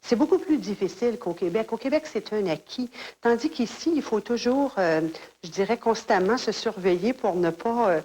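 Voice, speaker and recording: Female interviewee, measured, pausing speech. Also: a little high, expressive, darkish tone, husky, poor audio. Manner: thoughtful, hesitant. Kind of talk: interview clip.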